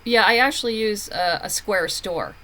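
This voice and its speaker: A woman's voice: mid-range voice, normal speed, sharp tone, rough recording. A woman speaking candidly and conversationally as a guest in a casual interview about her own work. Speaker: interview guest, comic book writer.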